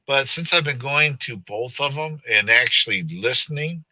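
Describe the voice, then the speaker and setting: Bright-voiced man chatting on a live stream. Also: mid-range voice, lively intonation, normal speed, dry recording. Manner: rambling, joking, laughs often. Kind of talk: casual live stream chat.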